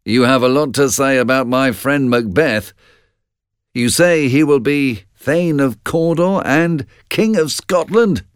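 A man's voice: high-pitched, wildly swooping pitch, unhurried, vocal fry, breathy edge, no room sound, studio quality, voice pushed up, full character voice. A man voicing a bold, curious warrior in a storybook tale, with an emphatic, questioning delivery. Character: bold, curious male warrior Banquo, Macbeth's friend. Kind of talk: storybook narration.

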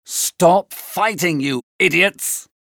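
A woman's voice: on the low side, takes it slowly, fairly bright tone, dry recording, clean studio sound, exaggerated voice. A woman voicing an upright, exasperated young nobleman urgently demanding peace in a storybook reading.

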